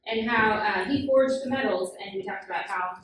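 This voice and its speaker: A woman's voice: mid-range voice, moderate pace, a bit bright. A woman teaching a children's Bible class, asking the kids questions patiently with frequent "um" fillers. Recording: very echoey room, far from the mic, noisy.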